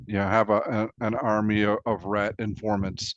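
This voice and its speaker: A man's voice: lowish voice, level tone, moderate pace, dry recording. A man co-hosting a casual chat, asking questions and thinking aloud, on a slightly lo-fi voice track.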